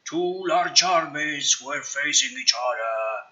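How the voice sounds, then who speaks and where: A man's voice: medium pitch, animated, slow, sharp tone, exaggerated voice. A man voicing a grand epic narrator of battles for a fantasy game voiceover, declaiming theatrically. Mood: grand, solemn. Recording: slightly dull, lo-fi stream audio.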